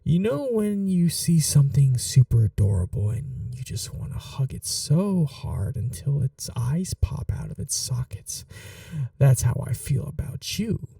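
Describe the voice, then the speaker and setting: Man playing a dark, creaky-voiced lovestruck kidnapper. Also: mid-range voice, big pitch swings, no echo, far from the mic, full character voice. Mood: doting, earnest. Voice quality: soft, breathy, dark. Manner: gentle, halting, coaxing reassurance. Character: lovestruck obsessive male kidnapper, doting and awkwardly tender. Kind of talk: intimate ASMR roleplay, often near whispering.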